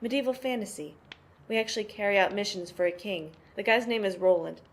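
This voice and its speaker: A woman's voice: mid-range voice, fairly quick, lightly acted. A woman playing a tough, protective sister who mothers her brothers, explaining things matter-of-factly in an amateur audio play. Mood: inviting. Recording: noisy amateur home recording.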